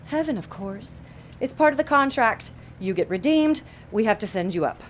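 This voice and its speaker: A woman's voice: a little high, lively intonation, normal speed, slight background noise, lightly acted. A woman playing a sardonic, bossy female devil who runs an office in a comedy audio drama. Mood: businesslike, irritated. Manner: bossy, sarcastic, exasperated.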